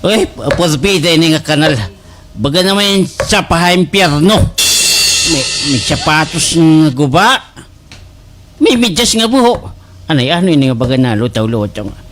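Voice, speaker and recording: An actor playing an excitable, complaining village father in a slapstick radio comedy, with a Waray accent and exaggerated exclamations. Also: fairly high pitch, normal speed, over music, lightly acted. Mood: disgusted, exclaiming. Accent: Waray, Eastern Visayas Philippines.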